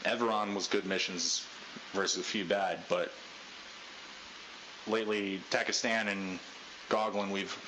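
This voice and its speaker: A man leading a group debrief in a casual pep-talk style, talking loudly with a lot of inflection. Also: steady tone, measured pace, long pauses, noisy background. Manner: loud, high voice inflection. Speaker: unit leader giving a debrief.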